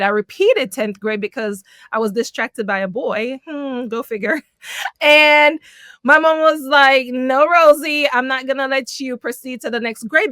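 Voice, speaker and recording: A middle-aged woman from Jamaica, a podcast guest telling her life story in an animated way, laughing often. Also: high-pitched, no room sound, studio quality. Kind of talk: personal storytelling in an interview.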